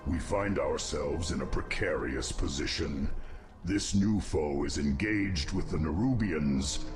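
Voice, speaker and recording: A man performs a grave undead insectoid commander from a fantasy game. His low voice is formal, measured and resolute, giving orders over clearly audible music. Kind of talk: fantasy game cutscene acting.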